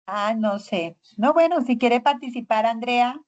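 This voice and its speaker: Woman teacher, higher voice, dull lo-fi call audio, prompts students by name. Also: measured pace. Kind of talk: online class discussion. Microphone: video call.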